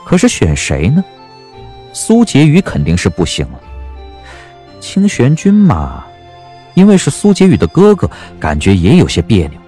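A man narrating a story as an audiobook narrator, in a scripted, expressive and measured dramatic style. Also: mid-range voice, faint music behind.

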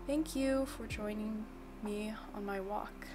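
A young woman vlogger calmly reading a scripted voice-over about her day, soft and reflective. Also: medium pitch, slow. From Virginia. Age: young adult. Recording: music bed under the voice. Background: soft background music.

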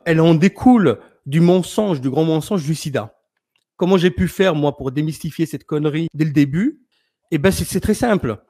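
A man's voice: a little high, normal speed, warm tone. A man hosting a live stream, talking in an insistent, argumentative way. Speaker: livestream host. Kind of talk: casual chat on a live stream.

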